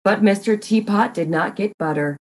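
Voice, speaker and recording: A woman narrating a comic murder mystery in a deliberate, deadpan dramatic reading. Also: medium pitch.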